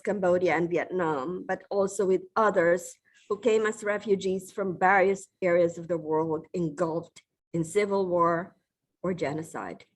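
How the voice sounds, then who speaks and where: A middle-aged woman from the Philippines giving a formal, measured acceptance speech with occasional restarts. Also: lowish voice. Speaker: award recipient giving a speech.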